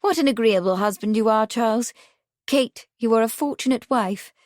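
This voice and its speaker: A woman playing an eager, bossy upper-class young woman with a brisk, emphatic way of talking. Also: fairly high pitch, darkish tone, no echo, clean studio sound, lightly acted. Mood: cheerful.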